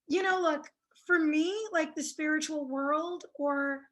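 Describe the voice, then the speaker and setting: A woman's voice: high voice, fairly even intonation, a little slow, a bit bright. A woman whose first languages are French and Italian, chatting shyly as a psychic guest on an interview show, with many fillers and hesitations. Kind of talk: casual interview chat.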